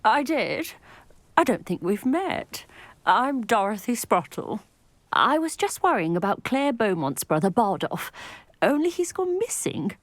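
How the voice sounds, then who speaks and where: Worried village widow, expressive pitch, folksy, chatty, dry sound, video game. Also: female voice, a little slow, no echo. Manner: folksy, gossipy. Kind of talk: video game dialogue acting.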